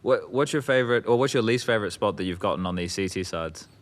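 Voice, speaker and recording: A man with a lower-pitched voice hosts a relaxed esports roundtable. He talks quickly, nudging players with playful questions. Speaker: host. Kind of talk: casual esports roundtable chat.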